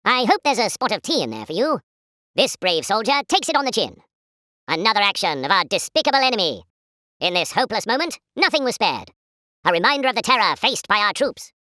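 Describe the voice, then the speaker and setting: Casting: a jolly British 1940s newsreel announcer for a war game, pompous and patriotic, bright forceful tone, swooping theatrical delivery. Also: female voice, medium pitch, no room sound, studio quality. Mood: jolly, rousing, then triumphant, then solemn, indignant. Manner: pompous, formal, chipper propaganda delivery.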